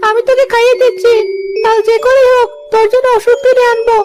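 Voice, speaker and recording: A woman's voice: extremely high pitch, flat delivery, quick pace. A woman playing a loyal, protective little village brother in a cartoon story, earnest and pleading. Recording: prominent music behind the voice.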